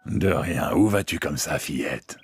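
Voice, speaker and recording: A man's voice: a little slow, dry recording, soft background music, heavily acted. A man voicing a sly big bad wolf who talks with false courtesy in a children's cartoon fairy tale, with a growling, coaxing delivery. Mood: sly, courteous. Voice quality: very deep, gravelly growl.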